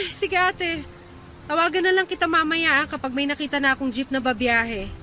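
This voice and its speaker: A woman's voice: sits high, normal speed, sharp tone, soft background music. An actress playing a polite young Filipino woman in a radio drama, scared and pleading. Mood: polite, wary.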